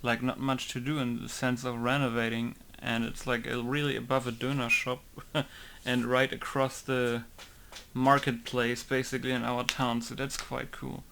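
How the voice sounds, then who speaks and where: A man's voice: medium pitch, normal speed, vocal fry, slight background noise. A young man, a game modder chatting casually while testing things on screen. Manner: relaxed, chatty, thinking aloud while testing. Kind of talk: casual screen-recorded commentary.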